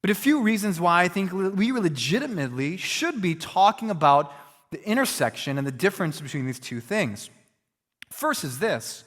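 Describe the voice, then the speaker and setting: High-voiced pastor preaching in a reverberant hall. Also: male voice, a bit bright. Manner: earnest, reflective, conversational teaching. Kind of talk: sermon.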